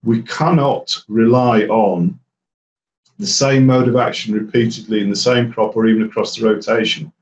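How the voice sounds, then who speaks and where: A man, a herbicide specialist answering webinar questions in a measured, explanatory way with fillers and restarts. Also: lowish voice. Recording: roomy, distant and dull audio.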